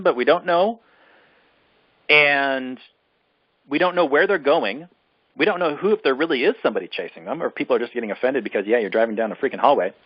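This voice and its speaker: A man's voice: medium pitch, no room sound. Exasperated man ranting, dull dry recording. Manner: exasperated, emphatic, repetitive for emphasis. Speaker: podcast co-host reviewing films. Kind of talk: casual film discussion, extended rant.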